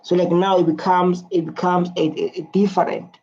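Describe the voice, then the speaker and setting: Reaction host rambling thru comments, lots of "like", dark mellow tone, kinda dull lo-fi audio. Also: mid-range voice, little pitch movement, moderate pace, vocal fry, breathy edge. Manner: rambling, heavy use of "like" and restarts. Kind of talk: casual commentary reading out viewer comments. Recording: dull lo-fi sound.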